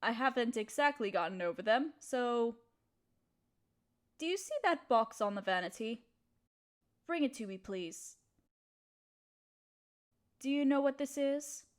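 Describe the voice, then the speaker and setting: Woman acting a genteel, higher-voiced young noble lady. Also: lively intonation, unhurried, long pauses. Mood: shy, confiding. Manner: formal, genteel, gently hesitant phrasing. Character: young noble lady, kind, proper, secretly storm-shy mistress. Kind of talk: intimate scripted audio roleplay addressed to listener.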